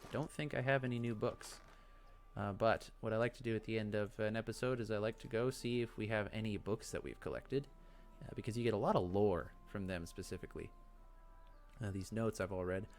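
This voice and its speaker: A man's voice: on the low side, fairly quick. A man hosting a let's play, chatting casually and thinking aloud as he goes. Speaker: gaming video host.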